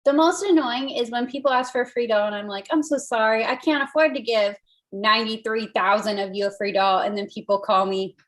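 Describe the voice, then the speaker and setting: High-voiced woman chatting as a guest. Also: brisk, fairly bright tone. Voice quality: high-pitched voice. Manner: chatty, laughing. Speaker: guest, doll artist. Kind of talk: casual chat.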